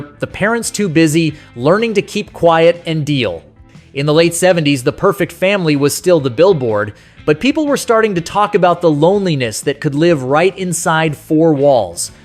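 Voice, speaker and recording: Higher-pitched man narrating a nostalgic countdown. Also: lively intonation, moderate pace. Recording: music playing behind the voice. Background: music.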